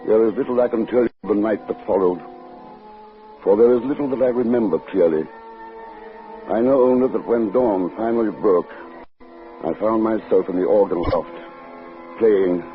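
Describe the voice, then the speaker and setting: A male actor playing a brooding, sinister man who narrates his own dark tale in formal, measured old-time radio style. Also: lowish voice, narrow, tinny audio, natural voice. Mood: haunted, ominous. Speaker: narrator and lead character. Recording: band-limited vintage radio recording with music.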